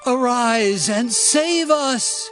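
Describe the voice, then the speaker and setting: A man voicing the wayward people of Israel in a dramatized scripture reading, declaiming in a slow, drawn-out way. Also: very high-pitched, expressive, fairly bright tone, faint music behind, voice pushed up, exaggerated voice. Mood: pleading.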